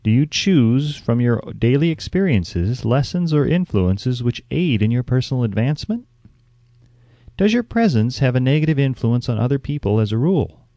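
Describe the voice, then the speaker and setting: A man reading a self-help audiobook in a measured, instructive way, with carefully phrased scripted narration. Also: mid-range voice, mellow, no room sound.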